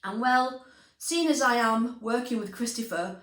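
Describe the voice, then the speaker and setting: Woman children's storyteller, slow deliberate pace, expressive delivery, roomy echo, music underneath. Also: on the high side.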